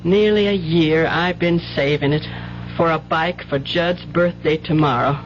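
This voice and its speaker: Long-suffering wife, muffled old radio. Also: female voice, sits low, a little slow, a little hiss or hum. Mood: weary, bitter. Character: hard-working, long-suffering wife of a gambling addict. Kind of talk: 1970s radio drama acting. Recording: muffled 1970s radio broadcast.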